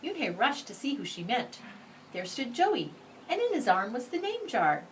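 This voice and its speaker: A woman reads a children's story aloud with deliberate, emphatic phrasing. Her melody is very lively and varied. The mic is slightly distant, with faint noise behind her. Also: sits high. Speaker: storybook reader. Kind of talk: expressive children's read-aloud narration.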